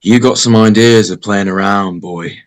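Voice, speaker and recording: A man's voice: on the low side, moderate pace, close to an everyday voice. Man acting a stern, weary small-town sheriff. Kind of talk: amateur table read of a film script.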